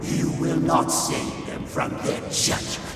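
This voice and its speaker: A man's voice: slow pace, lightly acted. A man playing a zealous, fanatical demonic priest proclaiming doom like scripture in a video game cutscene. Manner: zealous, chanting scripture-like pronouncements. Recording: distant echoing hall, loud music.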